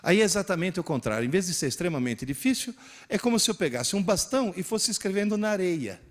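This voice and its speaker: A man's voice: high voice, quick pace. A man in his sixties giving a lecture to teachers, telling anecdotes and leading the audience through hands-on exercises. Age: 60s. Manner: animated storyteller who leads the audience in exercises. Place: live event in front of an audience.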